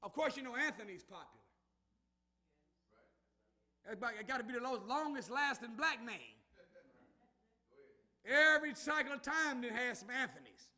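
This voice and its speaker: A man delivers a sermon in a very high voice. He calls to his listeners and works them into responses, climbing to loud shouted passages. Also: lively intonation, normal speed, long pauses. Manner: call-and-response preaching, rising to shouts. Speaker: minister.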